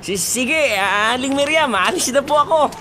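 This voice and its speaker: Cheeky, teasing young boyfriend. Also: male voice, extremely high pitch, animated, normal speed, pushed and bright, slight background noise, exaggerated voice. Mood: jokey, nervous. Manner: teasing, wheedling, jokey. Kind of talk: Filipino radio drama acting.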